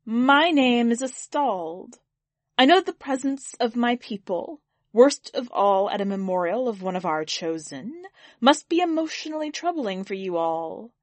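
A woman voicing a towering, owl-like alien envoy who speaks in a calm, formal, measured way. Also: on the high side, no echo, pitched above the performer's own voice. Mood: solemn.

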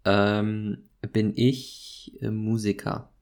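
A man speaking, joking around during a guessing game and giving quick, short answers. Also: fairly low pitch, completely flat delivery, vocal fry, a little mellow. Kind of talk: casual guessing game chat.

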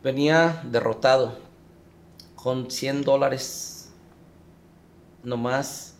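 A middle-aged man from Mexico, recounting his story as an interview guest in a reflective, halting way. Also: mid-range voice, steady tone. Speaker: guest, father.

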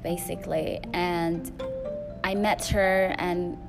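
Loud-voiced woman podcaster over music. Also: fairly low pitch, flat delivery, takes it slowly, creaky. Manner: rambling, frequent fillers and restarts. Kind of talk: solo podcast monologue. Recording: music playing behind.

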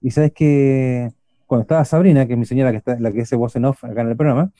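A middle-aged man with an Argentine accent hosts a casual radio chat. He has a very dark, mellow voice and keeps circling back with fillers and restarts. The recording is dry and close. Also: medium pitch, steady tone, fast talker, dry recording. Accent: Argentine Spanish. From Argentina, Buenos Aires. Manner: rambling, many restarts and fillers. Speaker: radio show host.

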